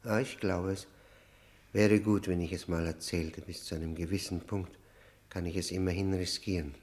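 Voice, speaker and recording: An actor playing a weary, defiant, middle-aged convicted murderer in a radio play, halting and guarded in speech. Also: male voice, low-pitched, steady tone, a little mellow, natural voice. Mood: brooding, hesitant.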